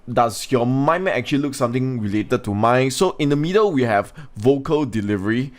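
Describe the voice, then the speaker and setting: Male English teacher, mid-range voice, narrating a lesson for students, instructional, asks questions. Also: medium pitch. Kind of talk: scripted lesson narration with informal asides.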